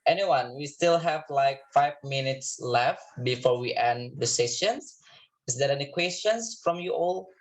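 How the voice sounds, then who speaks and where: A man's voice: medium pitch, animated, a little slow, poor audio. An Indonesian man moderating an online info session over a video call, talking with frequent hesitations and restarts. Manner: hesitant, many fillers and restarts.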